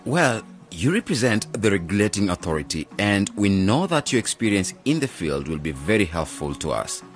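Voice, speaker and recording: An earnest, diplomatic young man in a radio play. His lowish voice moves around animatedly in pitch, over faint music. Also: sing-song, moderate pace. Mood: conciliatory. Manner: polite, earnest. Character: earnest, diplomatic young male agronomist graduate. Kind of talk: radio drama acting.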